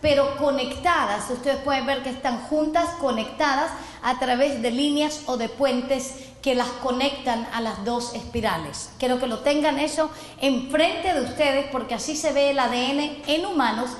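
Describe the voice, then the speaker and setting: A woman's voice: sits high, normal speed. A middle-aged woman from Chile giving an earnest sermon lesson, reading scripture and asking listeners to repeat after her. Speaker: messianic apostle teaching. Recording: echoey hall, roomy long reverb.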